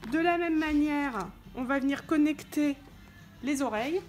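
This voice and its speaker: A woman's voice: high voice, measured pace, a little mellow. A woman giving an informal, hands-on product tutorial, explaining step by step as she handles the parts. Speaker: tutorial presenter for a motorcycle gear channel. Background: background music.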